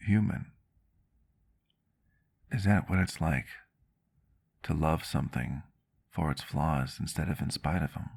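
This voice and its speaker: Gentle vampire doctor, male, played by a man, intimate ASMR roleplay. Low register with fry, reflective, formal phrasing. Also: full character voice. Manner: measured, reflective, formal wording. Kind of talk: ASMR roleplay, soft intimate storytelling to the listener.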